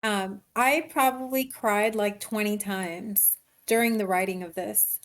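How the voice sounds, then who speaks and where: A woman screenwriter talking casually and haltingly about her writing in an online meeting. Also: medium pitch, steady tone, a bit bright. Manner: hesitant, informal, halting explanations. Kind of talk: casual discussion in online table read.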